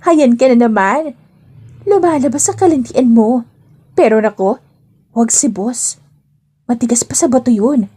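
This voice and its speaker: A woman's voice: a little high, unhurried, long pauses, warm tone, husky. A woman with a Filipino accent voicing a breezy young office worker, a chatty, slangy, teasing best friend in an audio drama.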